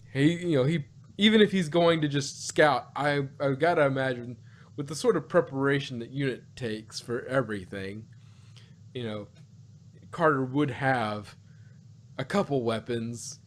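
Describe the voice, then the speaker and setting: A man's voice: mid-range voice, a little slow. Rambling man in casual podcast chat. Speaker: podcast co-host reviewing audio dramas.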